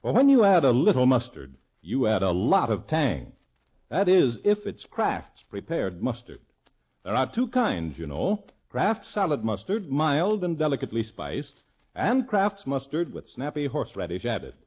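A man's voice: mid-range voice, huge pitch swings, a little slow, breathy edge. An American man reading a chatty, scripted 1950s radio advertisement as a commercial announcer. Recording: muffled old radio broadcast.

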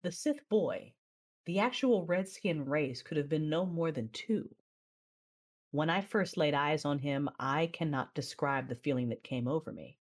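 A low-pitched female voice carries this sci-fi audio drama. She is a resolute, battle-hardened warrior recounting her own story. The delivery is measured and dramatic, like a memoir told aloud. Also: lively intonation, full character voice. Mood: solemn, tender. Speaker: narrator and lead character. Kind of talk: first-person dramatic narration in an audio drama.

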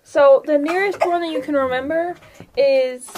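A woman's voice: sits high, moderate pace, heavy background noise. A woman hosting and narrating a tabletop game as game master, in a casual style. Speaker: game master and podcast host.

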